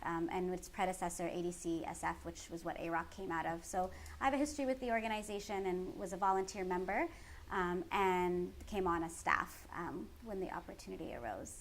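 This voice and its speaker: A woman's voice: lowish voice, brisk, fairly bright tone. A woman from the San Francisco Bay Area with a Californian American accent, giving articulate, earnest explanations as a community organizer in an interview. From San Francisco Bay Area, United States. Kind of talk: explanatory interview answers about organizing work. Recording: clearly noisy background ambience.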